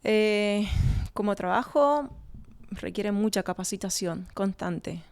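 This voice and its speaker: A woman's voice: medium pitch, slow pace, smooth. A woman with an Argentine accent, giving conversational answers as an interview guest. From Argentina, born in Colombia. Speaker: winery research and communication manager, interview guest.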